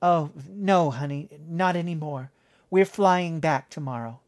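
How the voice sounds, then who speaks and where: A man's voice: fairly high pitch, unhurried, darkish tone, pitched above the performer's own voice, lightly acted. A male narrator voicing a stern, exasperated mother who scolds her child. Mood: soothing.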